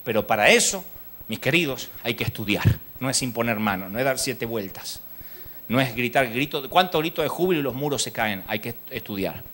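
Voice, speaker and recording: A man with an Argentine accent preaching a sermon at a church congress, telling lively stories and mimicking the people in them. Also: medium pitch, fairly quick. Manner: lively storytelling, mimics people in his anecdotes; rises to loud exhortation.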